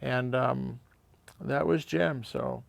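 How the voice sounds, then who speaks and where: An older American man telling anecdotes informally to camera, reflective and halting, often pausing mid-sentence. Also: mid-range voice, fairly even intonation, gravelly, dry recording. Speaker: audio shop owner and video host.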